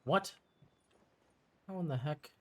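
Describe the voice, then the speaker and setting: A man's voice: on the high side, slow pace. A man casually commentating as he streams a video game.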